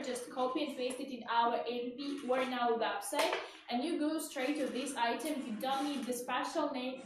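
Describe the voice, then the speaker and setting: A woman in her twenties from Ukraine with a Ukrainian accent, presenting products on a casual live stream in a chatty, halting, repetitive way. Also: sits high, recorded from a distance. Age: 20s. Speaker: live shopping host. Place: small indoor studio with clothes rack. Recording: echoey room from afar, faint noise.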